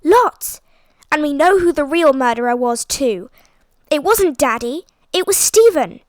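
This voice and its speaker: A high, shrill schoolgirl, as a woman narrator puts her on, bossy and bold. She talks with urgent, piercing force in a dry storybook recording. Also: animated, a little slow, no echo. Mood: insistent. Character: upper-class English schoolgirl, bold and bossy.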